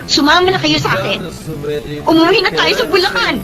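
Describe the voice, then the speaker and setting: A woman's voice: very high voice, a little fast. A woman with a Filipino accent playing a caring, protective grown child in a radio drama. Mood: urgent, protective. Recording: loud music under the voice.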